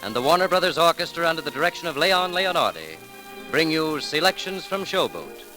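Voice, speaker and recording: An American male announcer speaks in dramatic 1930s radio style, theatrical and reverent. His voice is bright and forceful, swooping widely in pitch. Music plays softly around it on the old broadcast recording. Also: sing-song, a little fast, low-quality recording.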